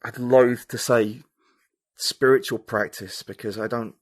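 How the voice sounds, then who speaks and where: A man's voice: mid-range voice, fairly even intonation, unhurried, no echo. A man, a writer and podcaster, talking as an interview guest, reflective and thinking aloud with many fillers. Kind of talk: casual interview conversation.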